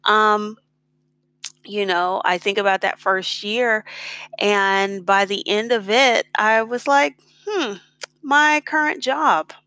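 A woman's voice: mid-range voice, takes it slowly, sharp tone, no echo. A middle-aged American woman telling her own story in a casual, reflective, conversational way. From United States. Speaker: blogger on expat life and personal finance.

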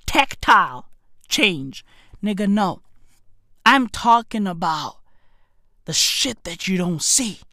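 Man, comedian, slow emphatic rant, bright tone, dry close sound. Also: high voice, no echo. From South Africa. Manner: emphatic, repetitive, swears heavily, drops to whispers. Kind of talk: ranting monologue to camera.

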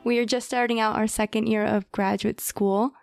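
Higher-voiced young woman hosting a podcast. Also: level tone, normal speed, gravelly, no echo. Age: young adult. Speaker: podcast host. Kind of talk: podcast introduction and interview.

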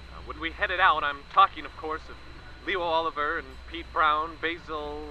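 An actor playing a seasoned male war correspondent reporting live, plain-spoken with halting restarts. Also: fairly high pitch, fairly even intonation, rough recording. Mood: calm. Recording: loud vehicle and wind noise.

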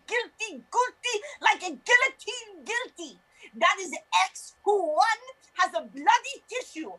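A woman's voice: extremely high pitch, sharp tone, exaggerated voice, rough recording. A woman playing a defensive, melodramatic magician's assistant with a put-on foreign accent in improvised comedy. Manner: dramatic, indignant, mangled English. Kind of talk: improvised comedy character acting.

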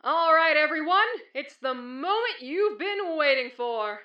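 A self-satisfied archangel announcer acted by a woman in an audio drama. The high, showy voice booms out over a loudspeaker, echoing and muffled. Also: animated, measured pace. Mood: smug, showy. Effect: loudspeaker echo. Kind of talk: announcement in audio fan fiction. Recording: dull, echoing loudspeaker sound.

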